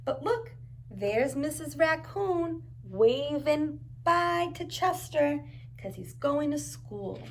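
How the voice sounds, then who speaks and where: A woman's voice: high-pitched, very animated, slow pace, slight background noise. A woman reading a storybook aloud to young children like a preschool teacher, adding encouraging asides and simple questions.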